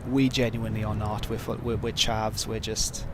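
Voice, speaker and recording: A man's voice: on the low side, flat delivery, moderate pace, heavy background noise. A man with a heavy Welsh accent chatting casually on a livestream, reading and answering viewer questions in a rambling, self-deprecating way with many fillers. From Wales. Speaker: streamer.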